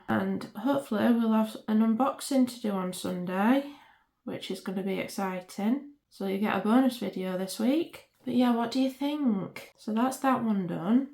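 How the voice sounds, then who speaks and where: A woman with a slightly croaky voice, chatting casually and muttering to herself as she works at her craft desk. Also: mid-range voice, darkish tone. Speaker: resin craft channel host. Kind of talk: casual crafting vlog, thinking aloud. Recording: roomy echo.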